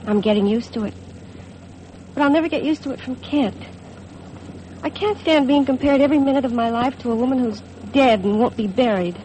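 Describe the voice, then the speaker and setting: Over faint vintage radio noise, an actress plays an insecure young American bride. Her voice is high and wistful, in the earnest manner of 1950s radio drama. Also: fairly quick, warm tone, close to an everyday voice, rough recording. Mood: hurt, wistful. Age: young adult.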